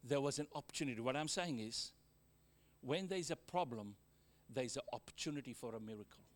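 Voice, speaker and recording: A man with a Fijian accent preaching a sermon, calling out amens to the congregation, mixing joking asides with exhortation and swinging from loud to quiet. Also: mid-range voice, animated, a little fast. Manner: exhorting, with frequent amens and call-outs to the congregation.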